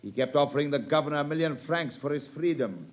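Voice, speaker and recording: A curt, commanding prison jailer in an old radio play, played by a man. His mid-pitched voice moves lively up and down as he orders the prisoner around, heard through a dull, aged recording. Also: quick pace, natural voice. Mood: stern. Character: gruff male prison jailer, stern. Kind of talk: 1930s radio drama acting.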